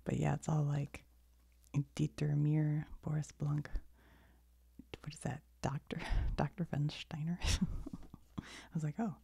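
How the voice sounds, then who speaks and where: A middle-aged woman whispering softly, as in ASMR, her voice airy and raspy with a deep gravelly fry under it. The tone is dark and mellow, and she meanders from one aside to the next. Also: flat delivery, slow pace, long pauses, no echo. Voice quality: soft unvoiced whisper, rambling asides. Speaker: video creator.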